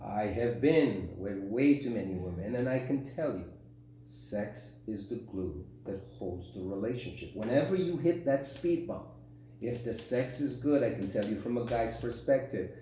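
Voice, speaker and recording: A man's voice: lowish voice, animated, moderate pace, dark tone. A middle-aged man co-hosting a podcast as a relationship coach, talking in a frank, emphatic, opinionated conversational way. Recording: very echoey room, distant mic, faint hum.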